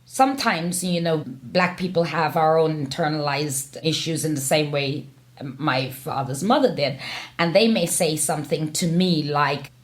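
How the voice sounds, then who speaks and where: A woman's voice: low-pitched, a little slow, faint music behind. A woman being interviewed, telling a personal anecdote in a wry, pointed, conversational way.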